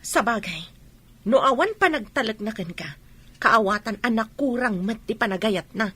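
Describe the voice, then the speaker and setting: A woman's voice: fairly high pitch, animated, moderate pace, sharp tone, breathy edge, exaggerated voice. A woman with an Ilocano accent playing a frank, concerned young friend in a radio drama. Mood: concerned, probing.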